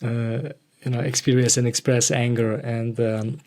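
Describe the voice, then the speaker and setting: A man hosting a reflective interview, speaking hesitantly and haltingly as he searches for words. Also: medium pitch, fairly even intonation, darkish tone. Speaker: podcast host interviewing a guest.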